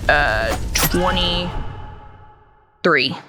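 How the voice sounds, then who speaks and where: A woman's voice: low voice, lively intonation, extremely slow. A woman playing a tabletop role-playing game, chatting casually and describing her moves, with music behind her. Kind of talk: casual game chat and action narration. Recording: loud music bed behind voice. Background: background music score.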